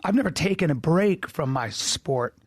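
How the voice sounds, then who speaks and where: A man's voice: on the high side, expressive, normal speed, no echo, studio quality. A man in his forties co-hosting a podcast, teasing in a mock-grandiose, pompous way. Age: 40s. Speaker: podcast co-host. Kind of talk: casual comedic banter.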